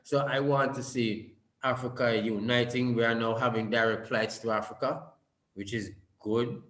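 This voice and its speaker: A man's voice: mid-range voice, fairly even intonation, normal speed, fairly bright tone. A man giving an earnest summit speech over a video call, often repeating words as he builds his points. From Jamaica. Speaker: summit speaker. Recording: roomy echo.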